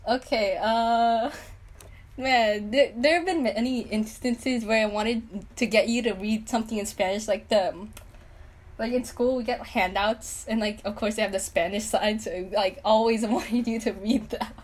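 A woman's voice: on the high side, measured pace. A teenage girl hosting her own podcast, chatting casually and giggling often, with many fillers. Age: teens. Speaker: podcast host. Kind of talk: casual chat.

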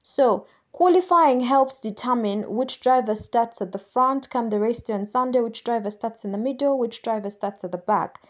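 A Ugandan woman hosting a solo podcast, chatting and explaining things patiently for newcomers, correcting her own slips as she goes. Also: medium pitch. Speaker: podcast host.

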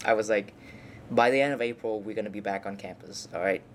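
A young man from Vietnam speaks as an interviewed university student. His voice is a bit low, and his talk is casual and conversational, with plenty of rhetorical questions. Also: brisk, a little hiss or hum. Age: young adult.

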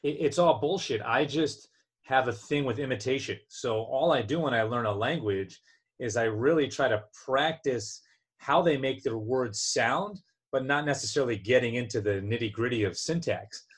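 A man's voice: medium pitch, normal speed, slightly rough. A man from New Jersey chatting casually as co-host, joking and self-deprecating, often restarting his sentences. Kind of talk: casual chat.

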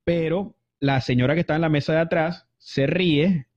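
A man's voice: on the high side, normal speed, a little mellow. Chatty man, lo-fi audio. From Venezuela. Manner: chatty, many fillers and restarts. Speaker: guest. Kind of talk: casual storytelling interview. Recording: dull lo-fi audio.

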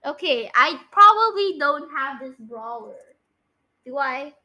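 A female-sounding young host with a high, bright voice. The delivery is casual and excitable, like friends chatting over a game, with phrases often repeated. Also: a little slow. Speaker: podcast host. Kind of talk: casual game chat, guessing voice lines.

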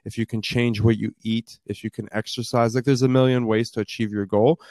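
A young man in his twenties with a deep voice, answering interview questions in a fluent, storytelling way with frequent fillers. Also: flat delivery, a little fast, a little mellow, dry recording. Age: 20s. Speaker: startup founder guest. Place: based in Canada.

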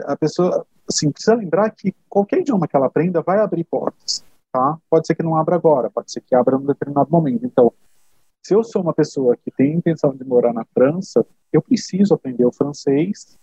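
A man from Brazil talks through his answers in an unhurried, explanatory way, checking in with the listener as he goes. His voice is mellow and a little husky. It sits a touch high for a man and comes through dry and lo-fi. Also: no echo. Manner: explanatory, many fillers like "né" and "tá". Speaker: interview guest. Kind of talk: casual interview answers. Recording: dull lo-fi sound.

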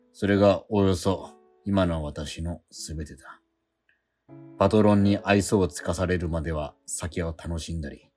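A man playing a poor, wry struggling painter, low-voiced. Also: a little mellow, natural voice. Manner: muttering to himself, dry self-deprecating asides. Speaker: lead actor and narrator. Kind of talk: radio drama inner monologue.

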